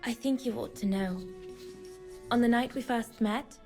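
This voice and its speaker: A courteous girl of seventeen in a period video game. Her voice sits a bit high over faint music, and she sounds earnest, curious and slightly naive. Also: unhurried, warm tone. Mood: earnest, concerned. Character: polite, curious, earnest seventeen-year-old girl pianist.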